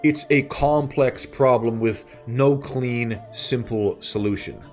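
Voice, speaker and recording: A man narrating a scripted video essay in a measured way, pausing between short phrases. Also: mid-range voice, darkish tone. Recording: music bed behind the voice. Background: background music.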